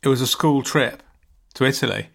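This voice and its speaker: A man's voice: mid-range voice, normal speed, creaky, fairly bright tone. Rambling man telling a story. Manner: rambling, self-mocking, restarts often. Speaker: podcast co-host. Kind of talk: comic storytelling chat.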